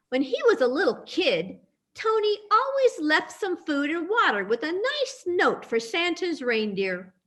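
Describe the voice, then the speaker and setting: A woman acts out a sociable bartender in a radio-style drama. Her voice is high and very animated in pitch, and she talks in a hospitable, chatty manner. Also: exaggerated voice. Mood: fond. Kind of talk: radio-play style readers theatre acting.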